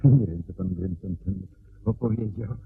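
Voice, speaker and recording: An actor playing a deferential, evasive private secretary in a Polish radio play, polite, hesitant and deliberate. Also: male voice, deep voice, vocal fry. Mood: polite, guarded. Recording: narrow phone-like band, hissy old radio.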